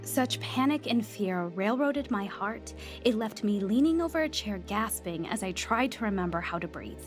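An actress playing an earnest, anxious young woman in recovery in a heartfelt, expressive radio drama. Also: mid-range voice, moderate pace, over music, natural voice. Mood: reflective, heartfelt. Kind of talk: radio drama acting and first-person narration.

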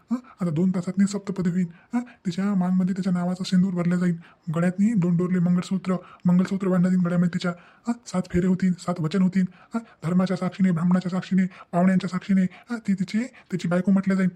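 A man acts out an exasperated young man in a cartoon family drama. He rattles on quickly in a mellow, little-varying voice. He is fretful and disheartened, often repeating himself. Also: sits high, completely flat delivery, natural voice. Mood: dejected. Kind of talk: cartoon comedy-drama dialogue.

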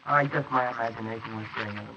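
A man's voice: fairly low pitch, fairly even intonation, racing, close to an everyday voice. An actor playing a nervous, guilty young man mixed up in murder, in a 1940s radio drama style on an old recording. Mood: calmer, reassured. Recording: noisy muffled 1948 wire recording of transcription discs.

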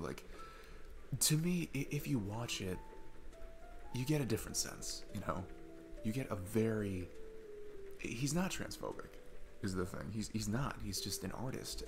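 Sweet, talkative date-night dad played by a man for audio roleplay: low-pitched, halting, enthusiastic, music underneath. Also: gravelly. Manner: rambling, enthusiastic, halting with many restarts. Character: sweet, chatty single dad on a first date. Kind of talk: ASMR audio roleplay, casual rambling talk.

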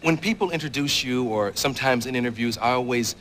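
Quick-talking curious male interviewer. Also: mid-range voice, fairly bright tone, a little hiss or hum. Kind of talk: interview question.

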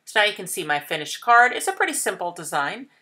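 A woman in her thirties calmly walking viewers through a papercraft project step by step, in a gentle, patient, explanatory way. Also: mid-range voice, quick pace. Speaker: craft tutorial host. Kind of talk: calm step-by-step craft demonstration.

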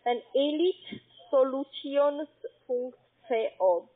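A woman from Spain with a Spanish accent, working as a call-centre agent and speaking broken German, who spells out letters slowly and patiently. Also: sits high, animated. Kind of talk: phone sales call. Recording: tinny phone line.

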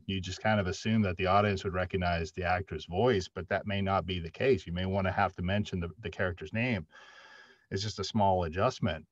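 Middle-aged male panelist, deep voice, halting explanatory delivery, dry but lo-fi video-call sound. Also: no room sound. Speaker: panelist, audio drama writer. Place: indoor room, video call. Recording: dull, lo-fi video-call audio.

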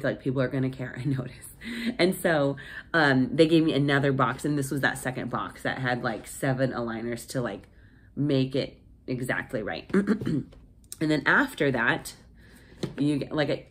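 Low-voiced woman with a stuffy cold. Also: animated, normal speed, breathy edge. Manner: rambling, many fillers and restarts. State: nasal from a cold. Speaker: vlogger. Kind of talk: casual chat to camera. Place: small home office indoors. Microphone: phone camera at desk.

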